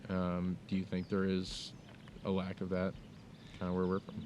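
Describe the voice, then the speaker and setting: A young man with a very low, even voice talks in a halting, reflective way, circling back and restarting as he thinks. He is recorded outdoors with a clear rumble of noise behind him. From United States. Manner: thoughtful, halting, many fillers and restarts. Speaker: podcast co-host. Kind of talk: casual conversation. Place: outdoors in the mountains.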